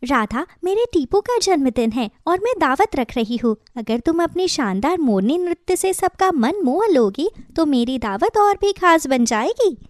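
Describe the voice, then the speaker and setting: A loving mother bird from a children's cartoon, played by a woman. Her voice is very high and swings expressively. She speaks with earnest affection in a close, dry sound. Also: big pitch swings, a little slow, few pauses, no echo. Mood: cheerful, earnest. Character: loving, gentle mother bird, warm and welcoming. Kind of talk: Hindi children's story cartoon dialogue.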